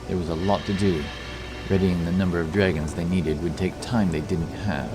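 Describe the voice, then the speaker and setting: Low-voiced male fantasy narrator over music. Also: fairly even intonation, normal speed. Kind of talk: scripted fantasy audiobook narration.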